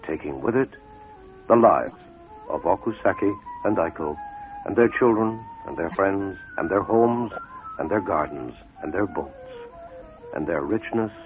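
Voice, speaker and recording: A man narrating a fable as a scripted dramatic storyteller, in measured, deliberate phrases with pauses for effect, on a muffled radio recording. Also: deep voice, animated, soft background music.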